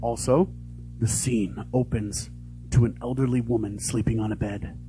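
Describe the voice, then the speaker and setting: A man's voice: mid-range voice, fairly even intonation, moderate pace, over music, poor audio. A man reading out scene descriptions as scripted narration for a voice acting audition. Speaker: voice actor auditioning.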